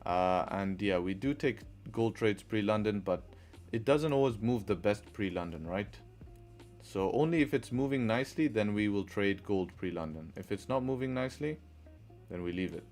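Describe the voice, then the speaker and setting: Low-voiced man hosting a trading livestream. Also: lively intonation, moderate pace, a little mellow, faint music behind. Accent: mixed, partly Arabic-sounding. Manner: rhetorical, repeats questions and answers to drive a point. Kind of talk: live-stream chat and chart commentary.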